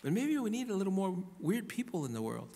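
A middle-aged man preaching a sermon as a pastor, in earnest, emphatic short phrases with pauses. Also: high voice, sing-song, mellow. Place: church hall pulpit. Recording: reverberant hall through PA.

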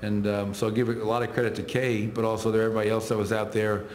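A man's voice: lowish voice, level tone, fast, a little mellow, echoey room. A middle-aged man, a head coach, answering reporters at a post-game press conference, listing stats in short clipped phrases into table microphones. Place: arena press room.

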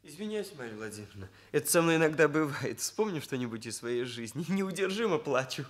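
A sensitive young man, a hairdresser in a Soviet radio play. His voice is high and moves in wide swoops. He speaks earnestly, in oddly formal phrases, with a touch of self-importance. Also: very animated, fairly quick. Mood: sorrowful, confiding. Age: young adult. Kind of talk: Soviet radio drama acting.

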